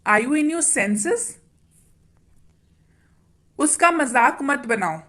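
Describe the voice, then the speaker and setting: A woman leads an everyday-phrases drill in a slightly high voice. She speaks extremely slowly and deliberately, saying each sentence twice as if for learners to repeat. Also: animated, a bit bright. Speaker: English teacher. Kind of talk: slow sentence-by-sentence language drill.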